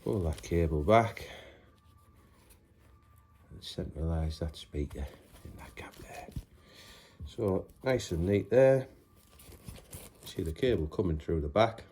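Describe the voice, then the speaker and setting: A man's voice: low-pitched, expressive, slow pace, long pauses, a little mellow, a little hiss or hum. A man giving a casual, unscripted how-to demonstration in a matter-of-fact, hesitant way as he works with his hands. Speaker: presenter of a DIY installation tutorial.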